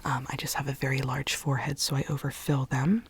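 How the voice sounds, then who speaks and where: A woman whispering in a breathy, unvoiced voice, gently role-playing a consultant who explains things to a client in ASMR style. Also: extremely low pitch, flat delivery, fairly quick.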